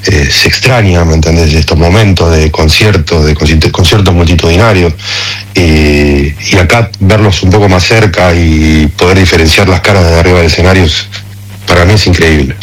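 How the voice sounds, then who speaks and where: A man's voice: sits low, normal speed. A middle-aged man with an Argentine accent, talking passionately and informally as a guest in a radio interview, often checking that he is understood. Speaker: interview guest, concert promoter.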